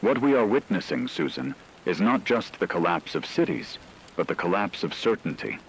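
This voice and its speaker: A man's voice: on the low side, expressive, normal speed, vocal fry, slight background noise, rough recording. A man playing a grave radio news anchor, delivering a scripted disaster report in a solemn, formal, rhetorical manner. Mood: solemn, resolute.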